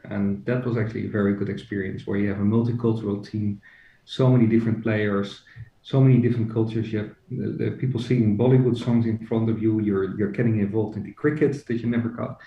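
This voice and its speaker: A man's voice: lowish voice, fairly even intonation, a little fast. A middle-aged man, an HR executive and company co-founder, talking as a podcast guest, hesitant with many fillers and restarts. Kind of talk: casual interview. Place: joining remotely from home. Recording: roomy, distant, dull remote audio.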